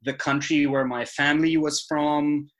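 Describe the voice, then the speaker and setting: A young man from Dubai, a podcast guest, talking in a thoughtful, measured way with frequent fillers. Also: on the high side, level tone, a bit bright. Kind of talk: conversational interview.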